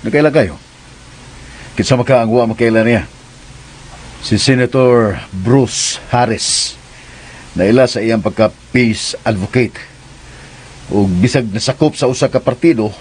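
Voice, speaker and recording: A man playing a serious, easily irritated, commanding young man in a radio drama, with a stern, earnest delivery and a husky voice. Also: medium pitch, unhurried, pauses often, darkish tone, a little hiss or hum, lightly acted.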